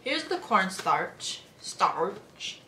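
A woman's voice: mid-range voice, big pitch swings, very slow. A young adult woman chatting informally and slangily to camera while cooking in a home kitchen, thinking aloud as she goes. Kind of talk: casual vlog chat while cooking. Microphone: camera set up a step away. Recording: echoey room, faint noise, kitchen clatter.

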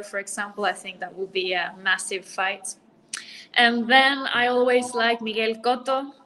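A woman's voice: medium pitch, bright, forceful tone, rough recording. A woman in her thirties from Norway, raised in Spain, talking casually as a boxer guest on a video call and laughing often. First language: Spanish or Norwegian. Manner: laughs often, friendly and easygoing. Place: bright room indoors. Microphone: laptop webcam on video call.

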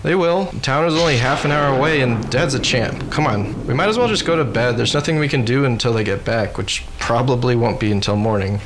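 An actor playing a dutiful, cautious young son and older brother in a radio drama. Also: male voice, mid-range voice, a little fast, few pauses, noisy background, natural voice. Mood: frustrated, reassuring.

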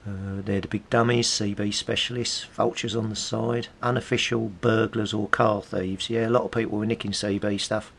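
Low, gravelly British man. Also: level tone, normal speed, few pauses, a bit bright. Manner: chatty, musing aloud. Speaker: hobbyist presenter. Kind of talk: casual rambling nostalgic commentary.

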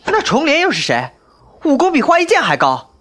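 A woman's voice: sits high, huge pitch swings, fairly quick, a bit bright, full character voice. A woman playing a cheeky, boastful young man in a Chinese wuxia audio drama, with playful, teasing delivery. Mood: eager, insistent.

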